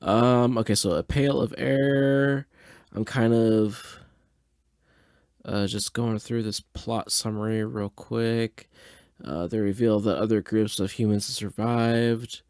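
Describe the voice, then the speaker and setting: A man's voice: fairly low pitch, fairly even intonation, slow pace, darkish tone, clean tone, dry recording, clean studio sound. A mildly tipsy man hosting a podcast, rambling casually and hesitantly as he thinks aloud in a laid-back way. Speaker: podcast host. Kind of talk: casual rambling audio reaction and commentary.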